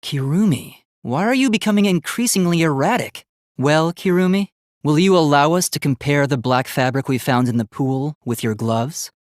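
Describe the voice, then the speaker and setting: A man's voice: fairly high pitch, animated, normal speed, no room sound, clean studio sound, lightly acted. A man voicing an earnest, logical young robot student in a video game, speaking precisely and formally. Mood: analytical, formal. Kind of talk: English video game voice acting.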